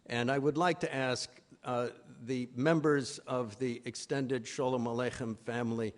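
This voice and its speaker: A man speaking as an executive director, introducing a program with a formal welcome at a live event in a halting, hesitant way. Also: mid-range voice, steady tone. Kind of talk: formal welcome speech at a conference. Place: live event before an audience.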